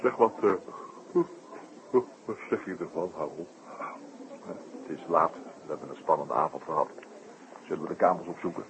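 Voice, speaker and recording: An actor playing a composed, courteous male detective in a 1960s radio drama, speaking in a measured, polite, deliberate way. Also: a bass voice, gravelly. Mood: calm, reflective. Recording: noisy muffled 1960s radio drama recording.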